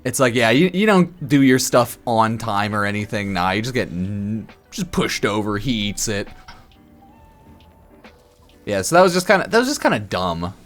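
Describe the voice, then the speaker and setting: A slightly drunk man giving casual, sarcastic game commentary on a stream, blunt and quick to swear. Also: on the low side, lively intonation, a bit bright, faint music behind. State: a bit drunk.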